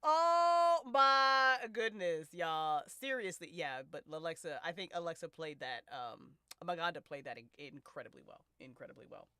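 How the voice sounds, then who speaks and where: Bubbly woman hosting a chat, super lively pitch, close dry sound, repeats stuff for emphasis. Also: moderate pace, hardly any pauses, clear, resonant tone, dry recording. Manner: effusive, repeats words for emphasis; frequent laughter. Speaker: host of a podcast cast discussion. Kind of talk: casual chat.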